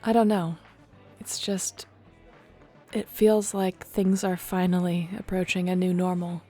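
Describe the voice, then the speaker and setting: A woman acting in an audio roleplay as a warm young shapeshifter clan leader, talking casually and sincerely. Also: on the low side, flat delivery, measured pace, soft background music. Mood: fond, sincere. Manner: casual, sincere, conversational storytelling.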